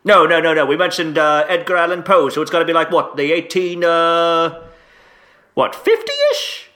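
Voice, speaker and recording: An actor playing a pompous, sputtering Victorian headmaster in a comedic audio drama. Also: male voice, on the high side, very animated, normal speed, pushed and bright. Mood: flustered. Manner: pompous, sputtering, old-fashioned exclamations. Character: pompous, blustering 1850s English boarding school headmaster.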